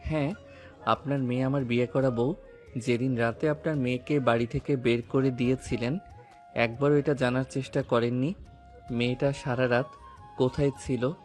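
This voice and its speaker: A man's voice: medium pitch, natural voice. Guy as protective young cop husband, angry and commanding, mid voice, music faintly behind. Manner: commanding, confrontational. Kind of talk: audio romance story drama acting.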